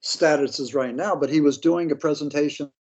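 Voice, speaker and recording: A man's voice: on the high side, brisk, darkish tone. Blunt American man, dull lo-fi sound. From Pennsylvania, United States. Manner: blunt, skeptical, slangy. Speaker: interview guest, paranormal author. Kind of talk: casual opinionated interview talk.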